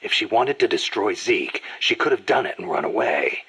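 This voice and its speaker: Gruff veteran commander: deep, heavily rasping, calm and terse, game-drama delivery. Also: male voice, heavily acted. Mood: pensive, questioning. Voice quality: gravelly, husky rasp. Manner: terse, questioning, measured. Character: gruff, battle-worn soldier boss, calm and reasoning. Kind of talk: video game audio drama acting.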